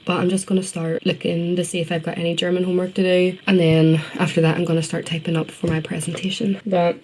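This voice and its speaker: A woman's voice: on the low side, flat delivery, fast talker, noisy background. A young woman with a Northern Irish accent chatting casually in a student vlog, in a self-deprecating way. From Northern Ireland. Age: young adult. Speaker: student vlogger. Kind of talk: casual study vlog chat.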